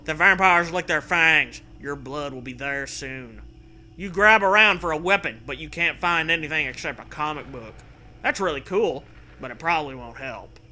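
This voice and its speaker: A man's voice: a little high, animated, moderate pace, bright, forceful tone. A man reading a story aloud for comedy, with jokey, profane riffs and a theatrical delivery. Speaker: host reading a choose-your-own-adventure story aloud. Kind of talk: comedic read-aloud with casual riffing.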